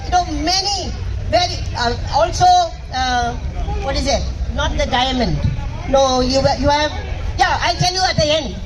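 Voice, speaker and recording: An older woman speaking Indian English, hoarse from shouting, giving a fiery, repetitive speech full of rhetorical questions at an outdoor protest rally. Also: very high-pitched. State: hoarse, lost voice. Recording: crowd noise at outdoor rally. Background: crowd and ambient noise.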